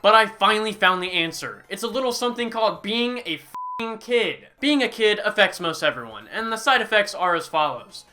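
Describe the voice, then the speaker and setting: A young man narrates a comedic game review voice-over in a high, sharply bright voice. His delivery is sarcastic and swings widely in pitch, with sudden exaggerated outbursts between dry asides. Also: animated, moderate pace. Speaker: gaming YouTuber.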